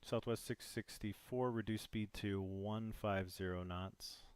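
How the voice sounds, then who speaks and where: A man playing an air traffic controller in a simulator, giving instructions in measured, formal radio phraseology. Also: lowish voice, steady tone, clean tone, no echo.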